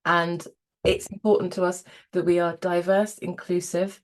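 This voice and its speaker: A woman co-hosting a podcast, her voice a bit croaky and pitched slightly low. She talks at an easy pace and rambles cheerfully, with filler words along the way. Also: little pitch movement, moderate pace. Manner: friendly and rambling, with fillers and laughter. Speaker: podcast co-host.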